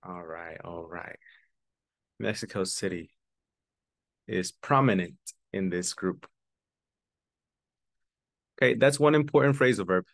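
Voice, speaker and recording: A man teaching an online English lesson, switching between English and Spanish and repeating key words slowly for his students. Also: sits low, vocal fry, no echo. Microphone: video call webcam.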